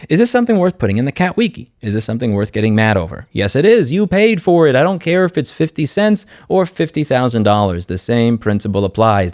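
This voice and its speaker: Fast-talking, sardonic man. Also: lowish voice, a little mellow, dry recording, studio quality. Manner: blunt, sardonic, opinionated. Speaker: video host, consumer rights commentator. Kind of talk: informal commentary to camera.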